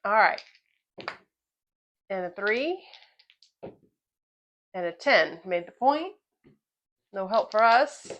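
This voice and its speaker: A woman's voice: medium pitch, expressive, slow pace, long pauses, fairly bright tone, muffled recording, a little hiss or hum. A woman hosting a craps channel, calling each roll and explaining a betting strategy, her voice a little off from a cold. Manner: casual, explanatory, calls each dice roll. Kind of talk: play-by-play commentary while testing a betting strategy.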